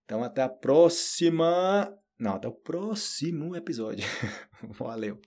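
A Brazilian man with a Brazilian Portuguese accent, giving a relaxed, chatty podcast lesson and addressing learners directly. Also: on the high side, a little slow. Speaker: Portuguese teacher. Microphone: solo audio recording.

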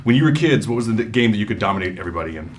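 A man hosts quick press interviews with actors. His voice moves up and down a lot. He is upbeat and laughs often. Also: lowish voice, animated, a little hiss or hum. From Georgia, US. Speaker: film interviewer.

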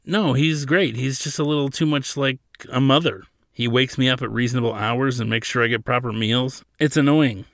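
A man's voice: mid-range voice, moderate pace, few pauses, fairly bright tone, dry recording, clean studio sound, close to an everyday voice. A man voicing a stubborn, sulky eighteen-year-old prince with petulant protests, as in an audiobook. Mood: pleading. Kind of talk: audiobook character dialogue.

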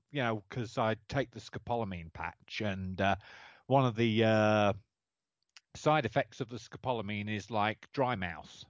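A man co-hosting a rally podcast, rambling and hesitant with many fillers, but animated. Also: fairly low pitch, no room sound, lo-fi sound. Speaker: podcast co-host. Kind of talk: casual podcast chat.

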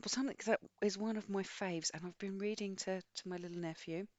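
A woman's voice: mid-range voice, steady tone, brisk, darkish tone, no echo. Woman chatting about books, dry close sound. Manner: chatty, enthusiastic, rambling. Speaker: podcast co-host. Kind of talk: casual book chat.

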